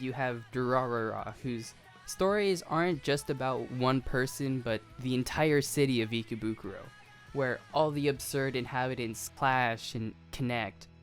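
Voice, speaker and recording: Anime video essayist, mid-range voice, scripted commentary, conversational asides, self-aware, guitar music underneath. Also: medium pitch. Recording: music behind the voice.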